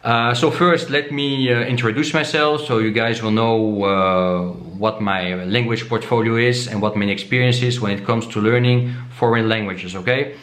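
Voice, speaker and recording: A man whose first language is Dutch, casually talking to camera as a vlogger, often asking "okay?" and filling pauses with "uh". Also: mid-range voice, fairly even intonation, fairly bright tone, echoey room. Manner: repeats himself and tags "okay?" often, many "uh" fillers.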